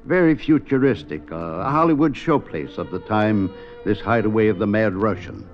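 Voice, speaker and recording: An actor narrating a 1950s radio suspense drama in a wry, measured storytelling manner. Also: male voice, on the low side, expressive. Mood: measured, suspenseful. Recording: dull 1950s radio recording. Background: dramatic music.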